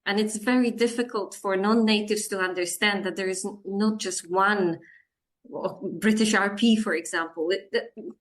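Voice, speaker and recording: A woman's voice: medium pitch, fairly even intonation, moderate pace. A woman in her forties from Poland, chatting as an interview guest about her work, with frequent laughs and fillers. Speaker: guest, business English communications coach. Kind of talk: casual interview chat.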